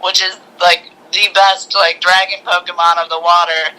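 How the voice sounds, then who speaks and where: Casting: film-chat co-host, strikingly bright tone, informal and excitable storytelling with acted-out quotes. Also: mid-range voice, moderate pace, rough recording. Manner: acts out quoted dialogue with excited slang. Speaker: podcast co-host reviewing films. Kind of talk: casual chat, animated recapping.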